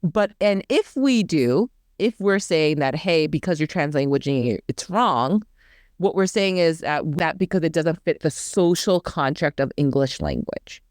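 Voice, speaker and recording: A woman's voice: low-pitched, animated, unhurried, darkish tone, dry recording, studio quality. A woman co-hosting a podcast whose first language was Korean, speaking conversationally and searching for words as she explains ideas. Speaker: podcast co-host. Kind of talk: casual academic discussion.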